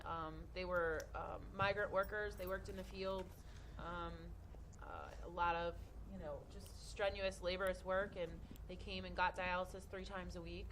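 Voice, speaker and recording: Friendly woman from Delaware, lower register, hesitant. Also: steady tone, normal speed, a bit bright, a little hiss or hum. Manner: hesitant, filler-heavy, friendly. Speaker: nurse, guest speaker. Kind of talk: casual talk to young audience.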